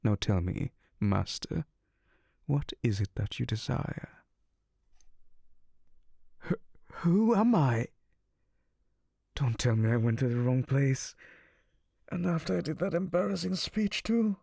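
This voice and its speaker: A man's voice: mid-range voice, sing-song, slow pace, gravelly, long pauses, dark tone, dry recording, studio quality, heavily acted. A man voicing an exasperated, sardonic male incubus demon in an ASMR audio roleplay, theatrical and sarcastic. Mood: grandly theatrical.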